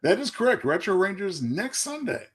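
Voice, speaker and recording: A man's voice: high-pitched, sing-song, normal speed, slightly rough. A man co-hosting a live web show in a casual, conversational style. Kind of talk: casual chat.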